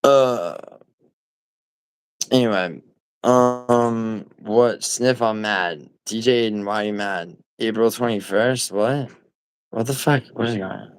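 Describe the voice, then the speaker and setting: A deep-voiced man chats loosely to his livestream viewers. His low tone stays smooth and clean. He rambles, swears often and trips over his own restarts, all on a dry, close sound. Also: takes it slowly, dry recording, low-quality recording. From New York City. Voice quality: nasal. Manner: rambling, profane, full of fillers and restarts. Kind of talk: casual livestream chat, reading viewer comments.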